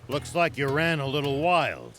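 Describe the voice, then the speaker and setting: A man's voice: mid-range voice, huge pitch swings, normal speed, over music. A man playing a composed, sensible old veteran general in a video game. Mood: calm.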